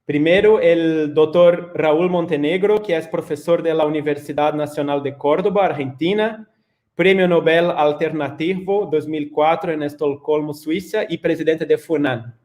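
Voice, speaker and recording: A man whose first language is Portuguese, with a Brazilian accent, moderating a panel and introducing guests in halting Spanish. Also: on the high side, fairly even intonation, a little mellow. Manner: hesitant, halting, with fillers.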